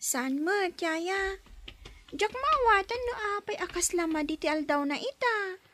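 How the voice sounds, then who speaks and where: A woman's voice: extremely high pitch, lively intonation, unhurried, pushed and bright, exaggerated voice. A woman voicing a curious young girl cared for by a nanny, in a radio drama. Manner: inquisitive, talks up to the adults. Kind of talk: Ilocano radio drama acting.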